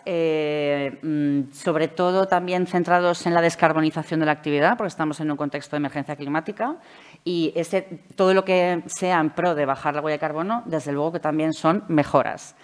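Low-voiced Spanish woman presenting at a conference. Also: clean tone. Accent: Spanish from Valencia. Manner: measured, explanatory, frequent "eh" fillers. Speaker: speaker at a public administration conference. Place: conference hall, seated at a table. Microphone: table microphone.

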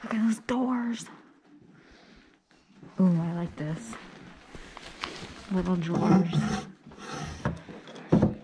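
Low, unhurried woman vlogger gushing over finds. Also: heavy background noise. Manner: chatty, gushing exclamations, some whispered reading. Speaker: vintage paper reseller. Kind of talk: casual walk-through vlog. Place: rooms of an old house at an auction, indoors. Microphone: body-worn action camera.

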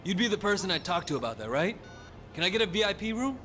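A cool, level-headed young undercover cop, speaking with quiet persuasion over thumping club music. His voice sits a little above the usual male pitch, steady rather than showy. A video game scene. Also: fast, heavily acted. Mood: calm. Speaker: game protagonist.

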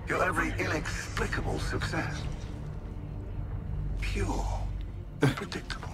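An arrogant alien mastermind from a video game. He speaks slowly and pompously, savouring each phrase with wide, theatrical swings of pitch. Music plays behind the voice. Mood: fascinated. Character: arrogant, coldly grandiose alien mastermind villain. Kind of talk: dramatic video game villain monologue.

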